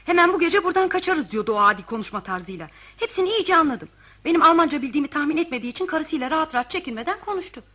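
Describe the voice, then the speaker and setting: An actress playing an anxious, sharp young daughter, speaking urgently in a radio comedy. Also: female voice, high voice, lively intonation, very fast. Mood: worried. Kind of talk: radio comedy theatre acting.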